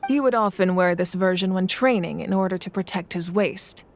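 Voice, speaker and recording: A woman playing a calm, worldly leader. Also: on the low side, a little hiss or hum, natural voice. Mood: explaining. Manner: calm, measured, recounts stories at length. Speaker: Archon of the land. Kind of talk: game voice acting.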